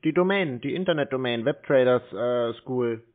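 Man, mid-pitched, casual, dry and doubtful, short replies, narrow telephone sound. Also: lively intonation, normal speed. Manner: laconic, dry, skeptical. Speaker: scam-baiter taking a sales call. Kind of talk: casual phone conversation.

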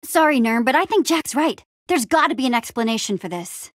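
A woman playing a plucky, bossy but encouraging young adventurer heroine in a video game, with an expressive, casual, peppy delivery. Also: sits high, fairly quick, no echo, studio quality. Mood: focused, determined. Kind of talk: animated adventure game dialogue.

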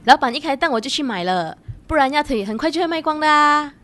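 Woman acting high-voiced devoted young girlfriend. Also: expressive, quick pace, sharp tone. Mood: eager, coaxing. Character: devoted, chatty young girlfriend, sweet and eager to please. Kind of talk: radio drama acting.

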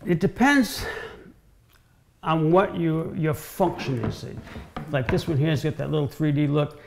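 A man's voice: a little high, a little slow, vocal fry, background noise. A man in his sixties explaining things informally in a demonstration, often repeating and restarting his phrases. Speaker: guest woodworker explaining cutting boards. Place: home-style kitchen, indoors.

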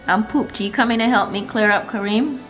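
A woman's voice: a little high, a little fast, fairly bright tone, highs cut off. A middle-aged woman hosting a casual home vlog, playfully stretching and repeating words for emphasis. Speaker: family vlogger, host. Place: home dining room and kitchen. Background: music.

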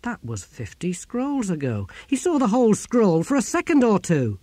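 Storybook sceptic, young male, done by a male narrator: high, lively, sneering, incredulous. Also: moderate pace, slightly rough, dry recording, exaggerated voice. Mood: incredulous, scornful. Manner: incredulous, challenging, scornful. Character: sceptical, sneering young man, philosopher's helper.

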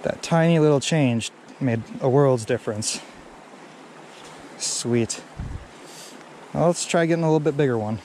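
A man's voice: mid-range voice, a little slow, long pauses. A young man narrating casually to viewers while fishing outdoors, recorded on a head-mounted camera. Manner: relaxed, talks to viewers as he fishes. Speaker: fishing vlogger. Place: outdoors by a creek and pond. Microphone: head-mounted action camera. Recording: clear water and outdoor noise behind. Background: running stream water.

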